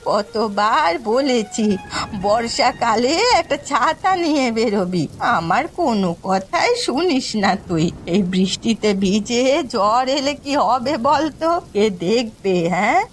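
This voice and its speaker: A woman's voice: a little high, expressive, a little slow. A woman voicing a kindly old village mother in a cartoon story, fussing over and affectionately scolding her adopted son. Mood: fussing, tender. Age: older. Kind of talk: Bengali cartoon story voice acting.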